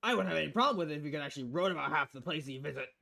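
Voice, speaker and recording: A man's voice: a little high, animated, very fast, creaky, sharp tone, heavily acted. A man voicing a crass, cynical, money-loving newspaper editor in a visual novel, brash and foul-mouthed in manner. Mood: scornful.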